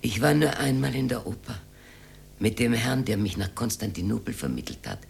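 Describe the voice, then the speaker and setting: Animated, coaxing older woman. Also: a bass voice, normal speed, creaky, a little hiss or hum, full character voice. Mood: wistful reminiscing. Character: lively older woman, wistful, coquettish and coaxing. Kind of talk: radio drama acting. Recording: roomy radio drama with music behind.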